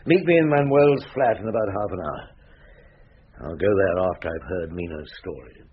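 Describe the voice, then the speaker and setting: Phone-like, low-voiced shrewd police inspector played by a man. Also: normal speed, natural voice. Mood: sombre, firm. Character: shrewd, patient, world-weary male police chief inspector. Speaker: actor playing a detective. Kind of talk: radio drama acting. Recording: muffled, phone-like radio sound, faint noise.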